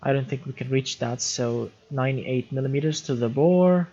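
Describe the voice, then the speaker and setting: A man's voice: mid-range voice, moderate pace, warm tone, dull audio. A young man from Syria hosting a casual live stream, chatty, reading viewer comments aloud and often repeating words as he restarts sentences. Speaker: livestream gaming host.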